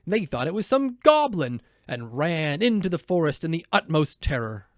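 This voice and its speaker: A man's voice: fairly high pitch, sing-song, normal speed, no room sound, clean studio sound. A man narrating a children's storybook as a voiceover performer.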